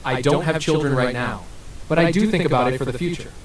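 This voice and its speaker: A synthetic male voice answering as an AI interview guest, smooth and evasive with scripted-sounding replies. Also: on the high side, fast. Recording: roomy and distant with faint hiss.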